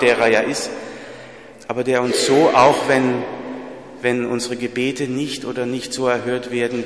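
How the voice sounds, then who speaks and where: A man preaching a sermon in a plain, earnest way, with a slight stutter and repeated words. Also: mid-range voice. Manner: earnest, plain-spoken, rising to emphatic peaks. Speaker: priest. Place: church. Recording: echoey church hall.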